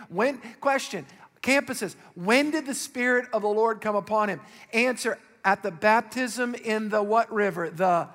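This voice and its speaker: A male pastor with a raspy voice preaching a sermon, calling out questions to the congregation with rising emphasis. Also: high voice, fairly bright tone, in a large hall.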